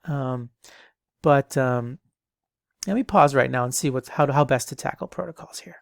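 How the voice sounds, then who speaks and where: A man's voice: medium pitch, moderate pace, creaky, no room sound, studio quality. A man in his thirties giving a casual online lecture as an instructor, rambling with many ums and restarts, a bit stuffy from allergies.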